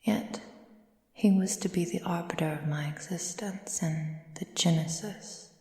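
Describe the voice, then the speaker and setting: A woman playing an ancient, proud, possessive aristocratic vampire in a soft, breathy ASMR roleplay with formal, measured delivery. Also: fairly low pitch. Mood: wistful, reserved. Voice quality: breathy, soft, often near whisper. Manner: slow, formal, measured, imperious. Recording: roomy sound with long reverb.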